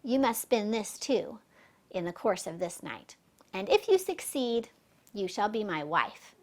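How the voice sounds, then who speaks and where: A woman's voice: mid-range voice, big pitch swings, a little slow. A woman storyteller voicing a greedy, commanding king in a children's fairy tale.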